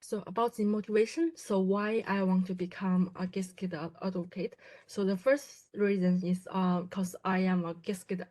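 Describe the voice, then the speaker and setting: A woman's voice: medium pitch, flat delivery, normal speed, a little mellow. Hesitant young woman. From China. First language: Chinese. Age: 20s. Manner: hesitant, many fillers and restarts. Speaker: graduate student presenter. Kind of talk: conference self-introduction talk.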